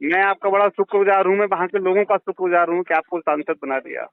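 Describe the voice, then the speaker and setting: High-voiced pleading Hindi man on tinny phone. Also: fairly even intonation, quick pace, vocal fry, no echo. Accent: western Uttar Pradesh. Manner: pleading, insistent, deferential, repeats himself. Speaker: villager on a phone call to his MP. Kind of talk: heated phone conversation. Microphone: phone line. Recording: tinny narrowband phone call recording.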